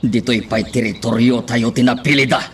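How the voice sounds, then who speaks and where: A man's voice: mid-range voice, steady tone, moderate pace, close to an everyday voice. A man acting a stern, commanding crime boss and father in a radio drama. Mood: stern, scheming. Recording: faint background noise.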